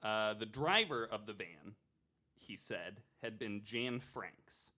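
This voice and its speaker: A man's voice: on the low side, animated, takes it slowly, pushed and bright. A man hosting a podcast, telling a true crime story in a casual, chatty way with joking asides.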